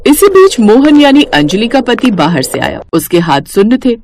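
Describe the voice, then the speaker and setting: A woman's voice: on the high side, sing-song, moderate pace, darkish tone, slight background noise. A woman reading scripted narration for a moral cartoon story.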